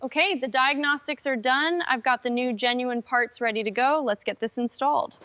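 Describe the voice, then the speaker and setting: A woman's voice: high voice, moderate pace, sharp tone, slight background noise. A woman speaking as a car restoration mechanic, narrating tasks in short phrases as she works. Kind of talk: short task narration while working.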